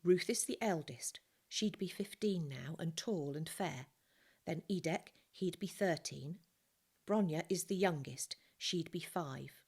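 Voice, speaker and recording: A woman's voice: lowish voice, a little slow, darkish tone, breathy edge. A woman reading aloud, voicing a grieving father who speaks in a tired, subdued way. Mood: pleading quietly. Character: grieving father, worn out and quiet.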